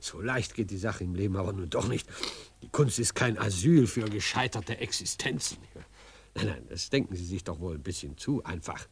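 A man's voice: low voice, animated, normal speed, husky, exaggerated voice. A male actor playing a pompous, patronising cabaret impresario in a German radio drama, with theatrical, condescending delivery.

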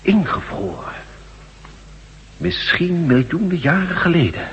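A man acting in a Dutch radio drama as a learned doctor excited by scientific discovery, speaking in an emphatic, lecturing manner. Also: fairly high pitch, measured pace, dull audio. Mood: solemn explaining. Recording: echoey, noisy radio play recording.

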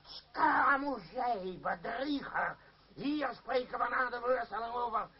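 A man's voice: extremely high pitch, takes it slowly, husky, distant mic, heavily acted. A man acting an indignant, blustering parent who leads a theatre troupe in an old radio drama, scolding theatrically. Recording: dull, faintly noisy old radio recording.

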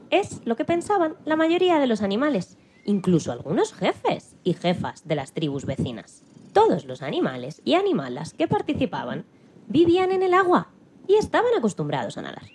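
A woman's voice: on the high side, wildly swooping pitch, moderate pace, darkish tone. A woman narrating a dramatised children's story as the storyteller, with faint noise on the recording.

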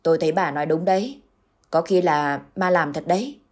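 Woman voicing a gruff village husband, low, mellow, husky, curt and grave. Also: brisk.

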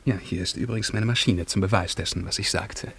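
An actor playing a grandiloquent, self-important scientist from the far future in a German radio play, with florid, ceremonious delivery. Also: male voice, sits low, steady tone, brisk, fairly bright tone, husky, full character voice. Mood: genial.

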